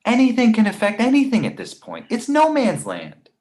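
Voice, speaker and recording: A man's voice: high-pitched, huge pitch swings, moderate pace, a little mellow. A man playing a nervous, nagging confidant who argues and worries aloud in a screenplay table read. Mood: nervous, agitated. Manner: argumentative, worrying aloud. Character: Victor, nervous nagging male confidant of a writer.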